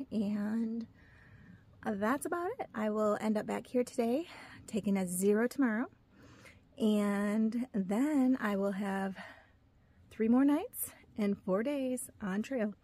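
A woman's voice: mid-range voice, darkish tone, breathy edge. A woman in her forties, filming herself on a phone at arm's length while hiking outdoors in mountain woods, talking in a chatty, upbeat way. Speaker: hiking vlogger. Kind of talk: casual trail vlog narration. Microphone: phone held at arm's length.

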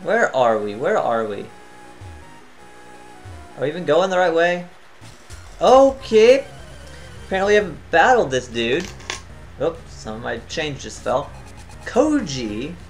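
A tired man giving casual, chatty commentary as he plays a video game, thinking out loud and humming to himself. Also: on the high side, very animated, measured pace, long pauses, faint music behind. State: tired, voice near cracking. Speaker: gaming channel host.

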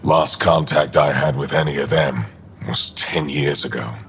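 Gruff alien commander, low gravelly voice, terse, cynical, game dialogue, ambient noise behind. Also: male voice, steady tone, measured pace, fairly bright tone, exaggerated voice. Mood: grim, resolute. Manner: terse, dry, blunt. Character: gruff, guarded male alien resistance leader, war-hardened and cynical. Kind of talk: video game dialogue acting.

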